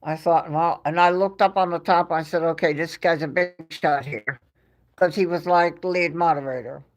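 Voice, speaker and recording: A woman's voice: on the low side, fairly even intonation, normal speed, poor audio. A woman with a New York accent hosting a livestream, telling stories in a chatty way and laughing often between phrases. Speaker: livestream host. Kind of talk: casual chat to a live chat audience.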